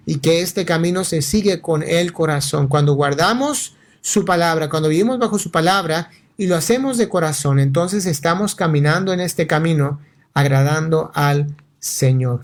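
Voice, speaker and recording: Earnest pastor preaching, slightly high voice. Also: male voice. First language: not Spanish. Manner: earnest, repeats "¿verdad?" often, restarts words. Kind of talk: radio sermon, Bible teaching. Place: radio studio.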